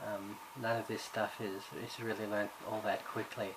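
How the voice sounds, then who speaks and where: A man's voice: on the low side, flat delivery, moderate pace. A man from Ireland, raised in Australia, giving a recorded course introduction as subject coordinator, talking hesitantly with many fillers and restarts. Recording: background noise.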